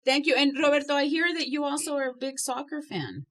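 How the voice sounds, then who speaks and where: A woman's voice: sits high, lively intonation, brisk, a bit bright. A woman hosting a podcast interview, teasing her guests and laughing often. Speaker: podcast host. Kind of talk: casual interview chat.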